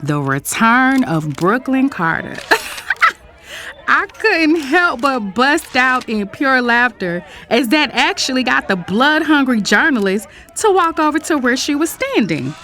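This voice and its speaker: A woman playing a carefree young runaway bride, a billionaire's daughter, telling her story in an expressive, conversational audio drama style. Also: sits high, measured pace, full character voice. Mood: amused narration. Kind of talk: first-person audio drama narration and dialogue.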